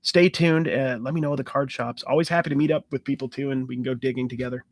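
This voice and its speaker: A man's voice: medium pitch, fairly even intonation, fast, no room sound. Casual rambling man on a live stream. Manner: rambling and conversational, restarts and fillers; enthusiastic about card hunting. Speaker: sports card channel host.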